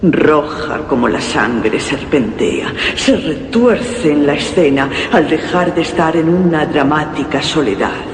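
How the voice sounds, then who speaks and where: An actor playing a solemn reciter of macabre verse in a radio horror drama, grave and declamatory. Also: male voice, on the high side, expressive. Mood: grim, ominous. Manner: grave, declamatory, measured phrasing. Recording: noisy radio recording with long reverb.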